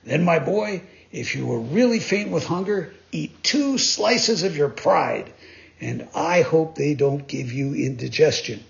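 A man's voice: on the high side, expressive, a little slow, creaky. A man voicing a tired working coal hauler who answers bluntly and scolds. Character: gruff tired adult male coal hauler. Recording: dull lo-fi recording.

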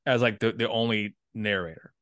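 A man's voice: lowish voice, fairly even intonation, normal speed, fairly bright tone, dry recording, studio quality. A man hosting a podcast in casual chat, rambling hesitantly with a self-deprecating streak. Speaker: podcast host.

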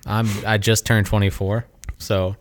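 A man in his thirties in casual chat, giving short replies and deadpan jokes. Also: on the low side, measured pace. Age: 30s.

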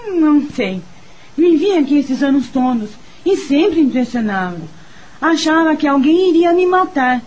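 A woman's voice: sits high, animated, unhurried, darkish tone, poor audio. An actress with a Brazilian accent playing an anxious but hopeful young village woman in a radio soap opera. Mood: confiding.

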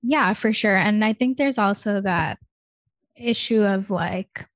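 A woman's voice: medium pitch, takes it slowly, no room sound, clean studio sound. A woman in her twenties from Michigan, speaking earnestly in casual conversation with many "like" fillers. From Michigan, United States.

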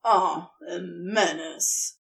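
Woman doing a sardonic demon man, gruff, slow, slightly off-mic. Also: lowish voice, fairly bright tone, distant mic, pitched below the performer's own voice, full character voice. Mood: grumbling. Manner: gruff, begging, sarcastic.

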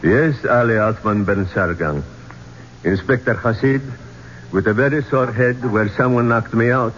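An actor playing a stern, sardonic Egyptian police inspector, speaking formally and with command in a 1970s radio drama. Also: male voice, mid-range voice, a little slow, a bit bright, natural voice. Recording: dull old radio recording, faint noise.

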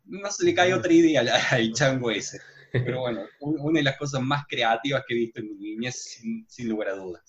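A man's voice: mid-range voice, a little fast. A man in a casual chat, talking animatedly as he tells a story. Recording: muffled lo-fi mic.

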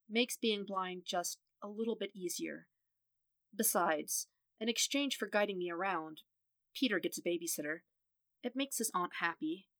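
Woman voicing a calm, protective blind man. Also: medium pitch, dry recording, close to an everyday voice.

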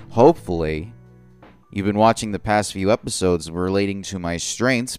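Low, smooth-voiced man hosting a podcast. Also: no room sound, clean studio sound. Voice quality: voice lower than usual today. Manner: rambling, self-correcting, earnest. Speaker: podcast host. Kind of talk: solo podcast monologue.

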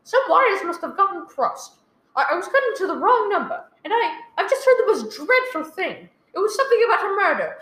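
Frantic, imperious housewife for radio drama, played by a woman; very high, sharply swooping voice, echoey room. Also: very animated, brisk, bright, forceful tone, exaggerated voice. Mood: horrified, frantic. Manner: fretful, demanding, melodramatic. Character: nervous, imperious invalid wife, shrill and frantic. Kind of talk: radio play acting. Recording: echoey live room recording.

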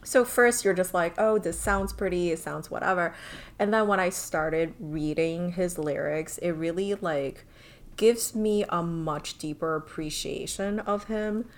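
A woman's voice: fairly low pitch, a little slow. A woman co-hosting a podcast, chatting in a thoughtful, casual way with lots of filler words. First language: not Korean. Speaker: podcast co-host. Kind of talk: casual chat.

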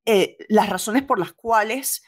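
A woman from Venezuela with a Venezuelan accent, a comedian chatting casually in an interview, lively and laughing often, slipping English phrases into her talk. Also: mid-range voice, takes it slowly. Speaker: stand-up comedian, guest.

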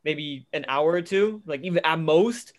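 A man's voice: fairly high pitch, moderate pace. A young man chatting casually with friends in a blunt, slangy, sweary way. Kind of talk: casual chat among friends.

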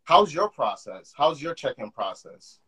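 Young male podcast host, husky tone, eager and loose delivery. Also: medium pitch, sing-song, unhurried, a bit bright. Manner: enthusiastic, talks in loose run-on phrases. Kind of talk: casual conversation. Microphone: call.

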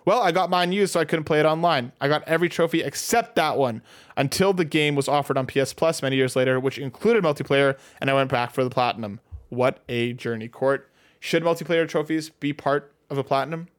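A man's voice: on the high side, fairly quick. A man co-hosting a casual gaming podcast, talking through opinions and listener comments. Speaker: podcast co-host.

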